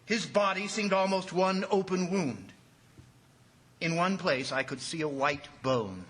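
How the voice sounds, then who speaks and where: An actor playing a grave commissioner, an awed eyewitness narrating in a measured, dramatic old radio style. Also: male voice, high voice, fairly bright tone, a little hiss or hum. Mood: horrified, grave.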